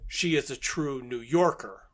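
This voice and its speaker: A man's voice: medium pitch, measured pace, fairly bright tone. A man co-hosting a podcast, recapping a plot in a methodical, matter-of-fact way. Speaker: podcast co-host.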